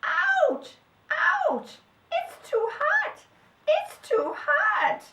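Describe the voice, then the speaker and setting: Tiny, squeaky, pleading storybook badger. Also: female voice, huge pitch swings, drawn-out words, echoey room, distant mic, very noisy, heavily acted. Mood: pained, alarmed. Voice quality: little squeaky voice. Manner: pleading, crying out. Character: small frightened badger trapped as a tea kettle, pleading. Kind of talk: storybook character voice.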